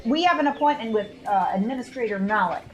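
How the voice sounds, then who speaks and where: Female tabletop player, raised pitch, casual in-character banter, faint background noise. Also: expressive, quick pace, rough recording.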